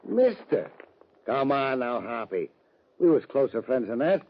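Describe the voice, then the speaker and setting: A man's voice: mid-range voice, very animated, unhurried, slight background noise. A man playing a falsely friendly, smug western town boss with veiled menace in an old-time radio drama. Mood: smug, taunting. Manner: oily, taunting, colloquial. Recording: muffled old radio recording.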